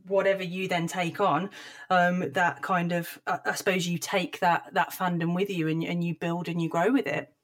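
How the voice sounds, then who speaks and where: A woman's voice: fairly low pitch, flat delivery, normal speed. Chatty woman podcast co-host. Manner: chatty, hesitant fillers. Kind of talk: casual podcast chat.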